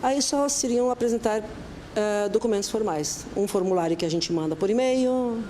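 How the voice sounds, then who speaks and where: A woman, an honorary vice-consul, giving interview answers and explaining steps carefully, spelling details out slowly. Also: medium pitch, warm tone, a little hiss or hum. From Brazil.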